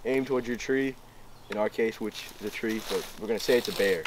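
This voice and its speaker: A young man with a lowish voice casually explains how a homemade project works. He is outdoors, picked up by a camera a few metres off, with faint background noise. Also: a little fast. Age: young adult. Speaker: student demonstrating a homemade project. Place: outdoors in woods. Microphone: camera a few metres away.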